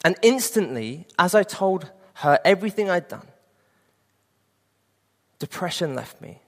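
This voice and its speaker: A man's voice: sits high, very animated, unhurried. Preacher in his twenties, echoing hall. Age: 20s. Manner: earnest personal testimony. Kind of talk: sermon.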